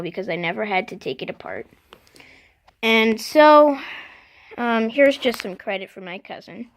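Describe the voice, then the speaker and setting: A vlogger casually showing off a collection, speaking unscripted and haltingly, often stopping to clear the throat. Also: mid-range voice, fairly bright tone, a little hiss or hum. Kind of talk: casual unscripted show-and-tell.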